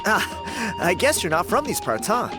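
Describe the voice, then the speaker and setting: A friendly, boastful young dancer from a jungle tribe in a video game. He talks in an easygoing, chatty way, with a slightly high, lively voice. Loud game music plays under him. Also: unhurried, full character voice.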